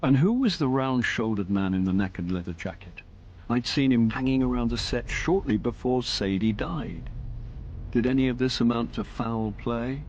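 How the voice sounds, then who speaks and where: An actor playing a wry, nosy middle-aged British amateur detective in a radio drama, speaking and narrating in a dry manner. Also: male voice, mid-range voice, expressive, normal speed, a little mellow, muffled recording, slight background noise, lightly acted. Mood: wry, reflective. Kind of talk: radio drama acting with first-person narration.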